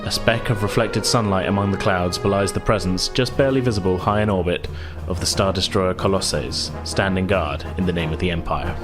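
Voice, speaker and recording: A man's voice: deep voice, fairly quick, few pauses. A man narrating a tabletop roleplaying game as game master, descriptive with some hesitation. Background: loud music.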